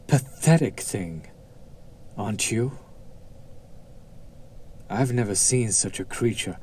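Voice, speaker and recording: A man playing a haughty, coolly amused demon buyer in a roleplay audio drama, cultured and menacing, with a deliberate, condescending, taunting delivery. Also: lowish voice, a little mellow, slight background noise. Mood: mocking.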